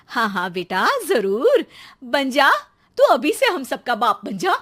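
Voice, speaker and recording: A woman voicing a harried, sarcastic mother who nags and scolds loudly in a cartoon story. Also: very animated, takes it slowly, full character voice. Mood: scolding. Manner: shrill, nagging, sarcastic.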